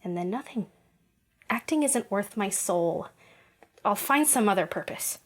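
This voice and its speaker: A woman acts as a disillusioned young actress in an audio drama. She speaks at a middle pitch, with a wry, wounded temper underneath. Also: lively intonation, a little slow. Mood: resigned.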